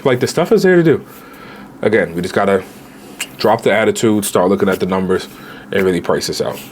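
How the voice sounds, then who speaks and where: A man in his late twenties, a travel vlogger giving casual, animated budget travel advice straight to viewers, with slang. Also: on the low side, fairly quick, a little hiss or hum. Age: 20s.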